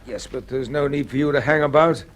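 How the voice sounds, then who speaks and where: A man's voice: mid-range voice, brisk, lightly acted. An actor with a British accent playing a composed, businesslike male space mission commander in an old-time radio drama. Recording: slightly noisy vintage radio recording.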